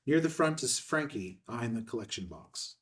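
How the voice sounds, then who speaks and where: A man's voice: medium pitch, lively intonation, moderate pace, far from the mic, slight background noise. A man reading scene headings and stage directions in a clipped, scripted narration at a table read.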